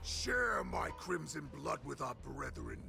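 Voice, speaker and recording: A male actor playing a bloodthirsty, grandiose wolf warlord in a video game, with theatrical proclamations and a breathy growl. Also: mid-range voice, big pitch swings, takes it slowly, music in the background, full character voice. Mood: commanding menace. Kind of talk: dramatic video game voice acting.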